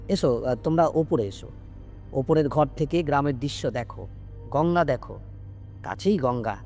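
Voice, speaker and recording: A man with a fairly high-pitched voice narrates a ghost story as a practised storyteller would, in literary phrasing. His delivery is expressive and dramatic. Soft music plays behind his voice. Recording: music bed under the narration. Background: background music.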